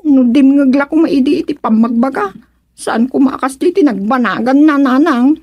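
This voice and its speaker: An actress playing an exasperated older sister in a radio serial, plaintive and scolding, with a Filipino accent. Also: female voice, sits high, normal speed, no echo, highs cut off, close to an everyday voice. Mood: defensive. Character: exasperated older sister who peddles goods, fed up with brother. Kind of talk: radio serial drama acting.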